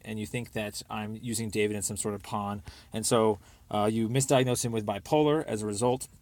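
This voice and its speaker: A young American man leaving a rambling personal voicemail, speaking in an insistent, aggrieved and repetitive way. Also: on the low side.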